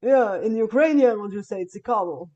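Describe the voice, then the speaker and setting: A woman's voice: mid-range voice, animated, normal speed, mellow, highs cut off. A woman who is a native Russian and Bulgarian speaker, chatting casually as a podcast host. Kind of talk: casual multilingual conversation.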